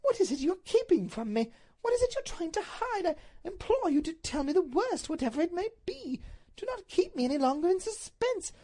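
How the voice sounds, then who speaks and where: A woman voicing an anguished, highly strung duchess in a storybook reading, pleading and breathless in a melodramatic way. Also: sing-song, brisk, dark tone, heavily acted.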